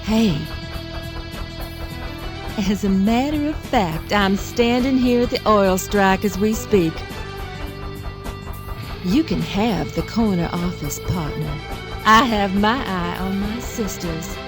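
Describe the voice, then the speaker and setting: Younger sister, actress, audio drama: sly, spiteful schemer, unhurried delivery, medium pitch, flirtatious. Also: female voice, over music, close to an everyday voice. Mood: scheming.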